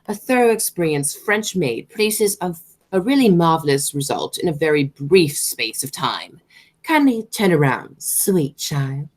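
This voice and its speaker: Radio-play aristocrat played by a woman: snobbish, money-minded aunt, pompous delivery on the low side, wildly varied intonation. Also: sing-song, measured pace, heavily acted. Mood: appraising, condescending. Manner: pompous, declamatory, stumbles over words. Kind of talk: stage comedy as amateur radio play.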